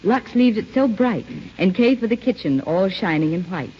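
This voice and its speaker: A woman's voice: medium pitch, expressive, normal speed. A woman delivering scripted, rhymed advertising patter on a 1930s radio show. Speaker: commercial spokeswoman. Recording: old muffled radio, faint noise.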